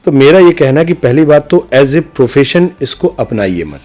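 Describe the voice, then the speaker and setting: A man's voice: medium pitch, sing-song, moderate pace, darkish tone, soft background music. A middle-aged man giving reflective, opinionated answers as a podcast guest, speaking emphatically in rhetorical, repeated phrases. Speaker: interview guest, senior news journalist.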